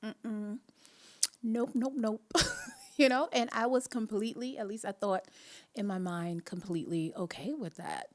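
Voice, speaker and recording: A young woman with a Jamaican accent hosting a faith podcast, telling a personal story in a casual, animated way and laughing often. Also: medium pitch, measured pace. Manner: laughs often, animated, many restarts and asides. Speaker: podcast host.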